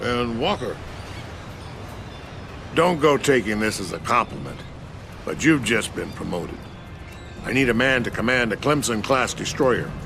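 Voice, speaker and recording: An actor playing a stern older navy admiral giving clipped orders in a video game. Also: male voice, medium pitch, animated, measured pace, vocal fry, pauses often, noisy background. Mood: firm.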